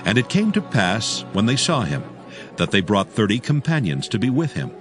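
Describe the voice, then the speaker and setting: A man narrating dramatized scripture as a solemn, measured storyteller. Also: fairly low pitch, sing-song, over music.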